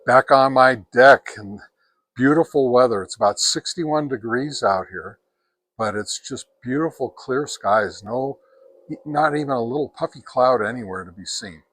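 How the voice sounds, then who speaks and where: Male reviewer, mid-pitched, casual and folksy, emphatic repetition, dry outdoor recording without echo. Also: moderate pace, fairly bright tone. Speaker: product reviewer and host. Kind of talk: casual hands-on radio gear review. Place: outdoors on a deck.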